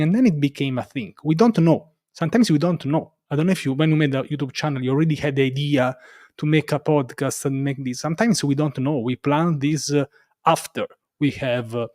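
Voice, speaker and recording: A man from Italy with a strong Roman Italian accent, a non-native English speaker, talking casually as an interview guest in a halting, self-deprecating way and repeating himself. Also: medium pitch, a little mellow, no room sound, studio quality. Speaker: interview guest, developer advocate and content creator.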